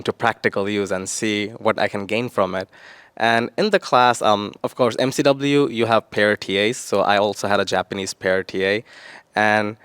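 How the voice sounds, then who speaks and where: A man's voice: on the low side, fairly bright tone, clear, resonant tone, no echo, clean studio sound. A young man for whom English is a second language, a teaching assistant answering interview questions thoughtfully, with fillers and self-corrections. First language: not English. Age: young adult.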